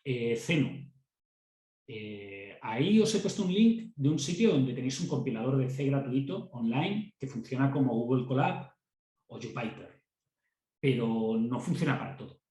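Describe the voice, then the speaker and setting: A man's voice: medium pitch, expressive, normal speed, dark tone, reverberant, poor audio. A man with a Castilian Spanish accent giving a university class, talking conversationally, repeating words as he thinks and checking in with students. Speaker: university lecturer. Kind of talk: classroom lecture.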